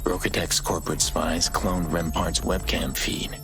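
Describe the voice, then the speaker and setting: An actor playing a gruff middle-aged mercenary in a video game, with a gravelly voice and a terse, matter-of-fact manner. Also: male voice, low voice, level tone, measured pace, over music. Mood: focused, low. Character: gruff ageing male mercenary, cool and stubborn.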